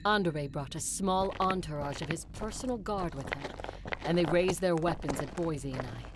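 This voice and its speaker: A woman's voice: deep voice, moderate pace, close to an everyday voice. A woman playing a battle-hardened, determined vampire conqueror who narrates and argues bluntly in an audio drama. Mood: intense narrating. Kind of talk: audio drama acting and first-person narration. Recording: clear background noise, ambience.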